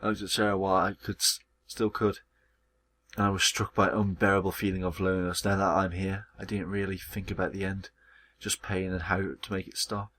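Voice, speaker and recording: A man's voice: deep voice, flat delivery, brisk, a bit bright. A man playing an anguished, hot-tempered male visual novel protagonist who tells his own story dramatically. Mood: pained, fading. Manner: dramatic first-person storytelling. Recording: music behind the voice.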